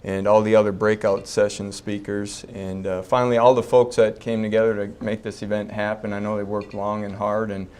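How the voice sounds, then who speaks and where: A man's voice: fairly low pitch, little pitch movement, a little fast, hardly any pauses, darkish tone. A man, a chiropractor giving a folksy, joking talk to an expo audience. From Fargo, North Dakota. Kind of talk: public talk at a health expo. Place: expo breakout session room before a live audience.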